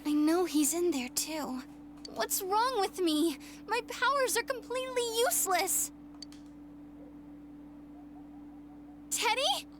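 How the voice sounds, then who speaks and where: A woman's voice: very high voice, animated, unhurried, fairly bright tone, a little hiss or hum, exaggerated voice. A woman playing a sensitive, easily exasperated teenage girl in a video game, with a plaintive, emotional delivery. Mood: frustrated.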